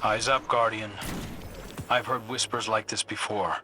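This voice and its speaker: Wary, low-voiced male spymaster played by an actor. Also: fairly even intonation, a little slow, noisy background, close to an everyday voice. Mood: wary, suspicious. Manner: guarded, questioning. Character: wary male spymaster guiding a soldier, haunted, suspicious. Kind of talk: video game dialogue.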